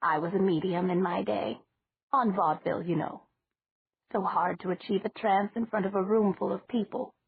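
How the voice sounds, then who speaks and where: Woman doing a gentle old witch lady, slow rambling talk, gravelly and husky, a bit higher, tinny dry sound. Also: fairly even intonation.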